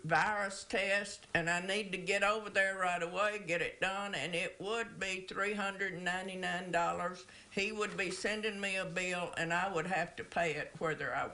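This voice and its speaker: A woman interviewee with a bright, forward voice. She tells her own story in plain words, pausing and picking up again in an unscripted way. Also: on the low side, steady tone. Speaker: interviewee recounting an experience.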